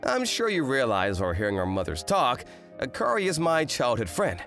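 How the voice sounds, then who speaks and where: A man's voice: medium pitch, wildly swooping pitch, moderate pace, creaky, fairly bright tone, faint music behind, exaggerated voice. A man playing an exasperated eighteen-year-old student in a manga rom-com dub, complaining and narrating with indignant drama. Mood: wry narrating. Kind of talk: manga dub acting and first-person narration.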